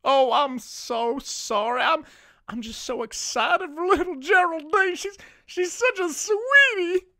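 A woman plays an overbearing stage mother who gushes over her little girl in a very high, sugary voice. She draws out her words slowly and heaps on the sweet talk, in a comedy sketch. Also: expressive, bright, forceful tone, exaggerated voice. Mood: gushing. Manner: effusive, sugary, calls her child pet names. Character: gushing doting stage mother, adult woman.

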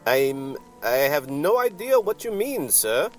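Prissy, servile desk clerk, raised pitch, courteous and formal, radio noir with music underneath. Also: male voice, sing-song, slow. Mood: flustered politeness. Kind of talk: old-time radio drama acting.

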